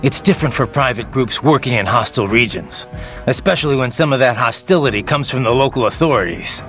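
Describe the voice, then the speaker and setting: An actor playing a blunt, determined cyborg mercenary in a video game, with a terse, dry, gruff delivery. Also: male voice, mid-range voice, a little fast, a bit bright, faint music behind, lightly acted. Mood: serious, determined. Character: sardonic, hard-bitten male cyborg mercenary, blunt and determined. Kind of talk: video game radio dialogue acting.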